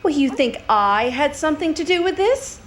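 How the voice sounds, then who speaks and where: An actress playing a flirtatious, evasive femme fatale in a noir radio play, teasing and sultry. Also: female voice, high-pitched, big pitch swings, moderate pace, a bit bright, slight background noise. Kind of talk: 1940s-style radio play acting.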